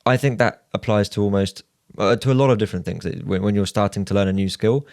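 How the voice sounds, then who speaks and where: A man's voice: on the low side, fairly even intonation, quick pace, creaky, a little mellow, dry recording, clean studio sound. A man co-hosting a casual podcast discussion, giving advice in an orderly way and laying out numbered points. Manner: structured, advising, lays out numbered points. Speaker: podcast co-host.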